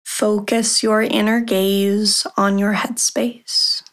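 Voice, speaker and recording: A woman guides a meditation in a calm, very slow voice. Her pitch sits in the middle and barely moves. She gives measured instructions and leaves long pauses between short phrases. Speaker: meditation guide, podcast host. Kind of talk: guided meditation, body scan.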